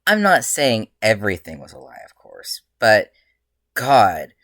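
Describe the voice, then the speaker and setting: A bored, mischievous grown-up male hero , put on by a story reader, airing grievances with theatrical emphasis and drawn-out words. He sounds exasperated and a little unhinged, in a dry audiobook-style reading. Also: mid-range voice, sing-song, a bit bright, dry recording. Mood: exasperated, dramatic.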